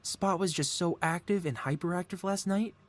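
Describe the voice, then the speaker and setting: A man's voice: sits high, normal speed, natural voice. A man playing a gleeful, lovesick supervillain mad scientist in an audio roleplay, melodramatic and wheedling with an air of feigned innocence. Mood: gleeful, doting. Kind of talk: ASMR audio roleplay, scripted character acting.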